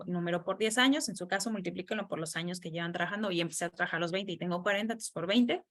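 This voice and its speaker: A woman's voice: medium pitch, fairly bright tone. A woman with a Mexican accent giving an informal explanatory presentation as a financial advisor, conversational and often checking in with short tag questions. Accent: Mexican Spanish. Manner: conversational, frequent check-in tags asking for agreement.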